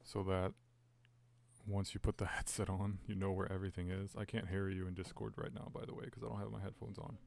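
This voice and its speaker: A man's voice: low-pitched, flat delivery, brisk, vocal fry, darkish tone. A young man streaming a VR game, chatting casually into his headset mic, rambling with lots of "like" and "uh". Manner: rambling, fills talk with "like" and "uh". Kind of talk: casual live-stream chat.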